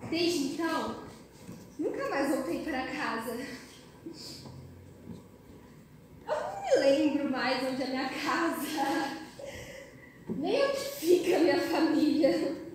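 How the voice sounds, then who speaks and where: An actress with a Brazilian accent playing a traumatized, bitter and unstable female asylum patient in a halting, theatrical stage monologue. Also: high voice, darkish tone. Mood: haunted, bitter. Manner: halting, singsong to frantic, dramatic pauses. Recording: echoey room, distant mic, faint noise.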